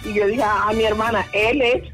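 A woman's voice: medium pitch, fairly even intonation, brisk, over music. Older woman caller on the phone. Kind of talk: phone conversation on radio.

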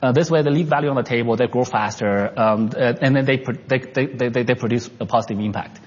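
A man from China who was raised in Canada, speaking as an investor and entrepreneur in an unscripted interview, with halting speech full of restarts and fillers. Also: mid-range voice, in a large hall, dull audio.

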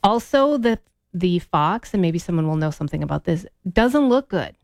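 Quiet-voiced woman radio host. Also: fairly low pitch, expressive, normal speed, dry recording, clean studio sound. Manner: quiet-voiced, self-deprecating. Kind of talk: casual morning radio chat. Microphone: studio microphone.

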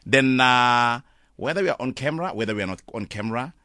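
A middle-aged man with a deep, loud voice, a father speaking as a guest on a radio show in an easygoing, slightly halting way. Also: lively intonation, no room sound, clean studio sound. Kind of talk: casual radio interview. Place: radio studio.

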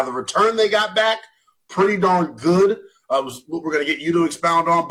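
A man's voice: fairly high pitch, fairly bright tone, slightly rough, rough recording. A man in his twenties hosting a sports talk radio show, often rephrasing himself as he goes. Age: 20s.

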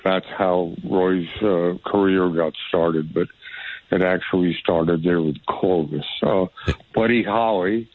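A male caller to a radio show, telling a story slowly and hesitantly with many fillers over the phone. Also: sits low, steady tone, dry recording. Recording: tinny phone line.

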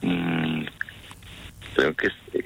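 A man's voice: extremely low pitch, flat delivery, extremely slow, vocal fry, a bit bright, husky. A man on a phone call, puzzled and often asking the caller to repeat herself. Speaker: call recipient. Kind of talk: phone conversation.

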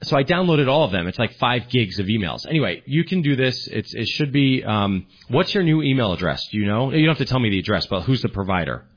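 A man's voice: mid-range voice, big pitch swings, fast, hardly any pauses, slightly rough. A man hosting a call-in tech radio show, chatting with callers and explaining computer steps one at a time. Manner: chatty, friendly, explains step by step. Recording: dull lo-fi broadcast audio.

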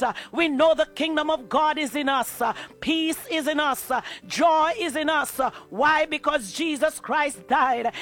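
High bright voiced guy preaching and praying with passion, lots of uh's. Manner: passionate, punctuated with "uh" fillers. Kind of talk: fervent sermon and prayer.

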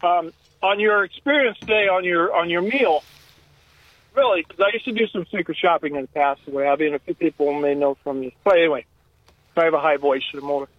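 A man's voice: on the high side, fairly quick, dry recording. An American man calling in to a radio show by telephone, giving rambling, earnest advice in casual everyday speech. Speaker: phone-in caller. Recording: tinny narrow-band phone line.

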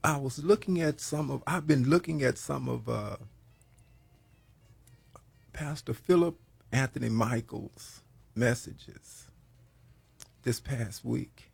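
A hoarse man leading a prayer and preaching on a program, slow and halting, with many pauses. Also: medium pitch, dry recording. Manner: grows louder and more emphatic while preaching. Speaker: pastor hosting a prayer program. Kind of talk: prayer and sermon talk.

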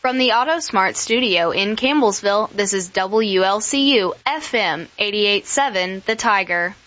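A woman reading a scripted radio station identification in a crisp announcer manner. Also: mid-range voice, expressive, measured pace, fairly bright tone. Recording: slightly dull with faint hiss.

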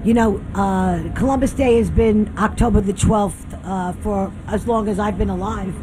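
A woman's voice: medium pitch, steady tone, normal speed, noisy background. A woman giving an impassioned street interview outdoors in a blunt, emphatic, opinionated way. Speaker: community advocate being interviewed. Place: outdoors on a city avenue at a public event. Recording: music behind, outdoor city street.

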